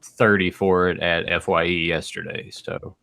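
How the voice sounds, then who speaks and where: A man co-hosting a casual podcast chat, speaking haltingly and often correcting himself mid-sentence. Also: sits low, flat delivery, sharp tone, clear, resonant tone. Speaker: podcast co-host. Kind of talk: casual chat about collecting and movies.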